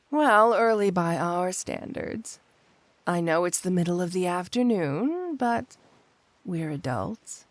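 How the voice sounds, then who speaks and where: A woman's voice: lowish voice, dry recording. A woman playing a flirty, possessive supervillain cat burglar wife in an intimate ASMR roleplay, coyly drawing out her endearments. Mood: relaxed, flirty. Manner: coy, drawn-out, purring endearments with pointed hums.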